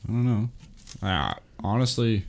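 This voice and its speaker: A man's voice: on the low side, animated, a little slow, vocal fry, darkish tone, a little hiss or hum. A young man co-hosting a podcast, talking in a laid-back, hesitant way. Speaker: podcast co-host. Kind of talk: casual chat.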